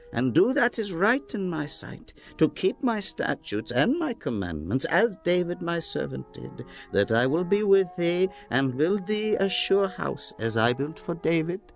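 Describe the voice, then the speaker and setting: A bold, commanding figure in an audio scripture drama. He declaims archaic words in a high, widely swinging voice with music behind. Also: gravelly, exaggerated voice. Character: bold, commanding man making solemn pronouncements.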